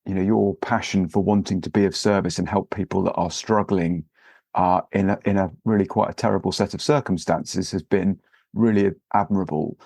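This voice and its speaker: A man hosting a podcast interview, talking gently with many pauses and fillers. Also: low-pitched, steady tone, gravelly, slightly rough, no echo, studio quality. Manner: hesitant, many fillers and restarts. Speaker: podcast host. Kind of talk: relaxed interview with scripted intro.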